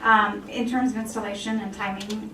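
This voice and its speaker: A woman speaks as a board member at a public meeting, informal and conversational. Her voice is medium in pitch. The sound is roomy and distant. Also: little pitch movement, moderate pace. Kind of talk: questioning and making motions at a public meeting. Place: indoor meeting room. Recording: echoey, noisy room, distant microphone.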